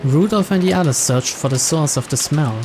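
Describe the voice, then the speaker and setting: A man's voice: a little high, brisk. A man reading scripted narration aloud from a visual novel. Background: game music.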